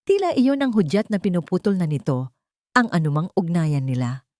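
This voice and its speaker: A woman's voice: fairly low pitch, expressive, moderate pace, darkish tone, no echo. A woman reading a scripted story as a narrator, with dramatic delivery.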